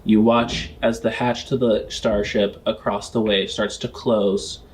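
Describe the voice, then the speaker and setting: A man narrating a tabletop game as game master, in deliberate phrases with frequent pauses. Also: fairly low pitch, level tone, a little hiss or hum. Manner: deliberate, pausing often between phrases.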